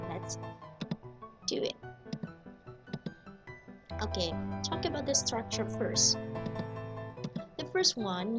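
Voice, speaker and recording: A woman teacher with an Indonesian accent giving a recorded English lesson in an upbeat, chatty way, often calling her students "guys". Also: a little high. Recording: lesson video with background music. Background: background music.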